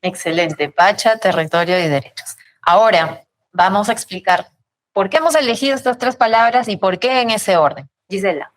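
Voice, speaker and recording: A woman hosts a panel talk. She speaks at a middle pitch and walks her guests through each question step by step. She repeats their answers back to them. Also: expressive, normal speed. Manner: guiding, gives clear instructions to guests. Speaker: host, moderator. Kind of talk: moderating a panel interview.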